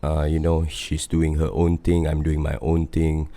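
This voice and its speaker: Very deep-voiced Singaporean man. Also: completely flat delivery, a little slow, mellow. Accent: Singaporean English. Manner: rambling, frequent fillers and swearing, laid-back. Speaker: podcast host and band vocalist. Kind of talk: casual solo podcast monologue.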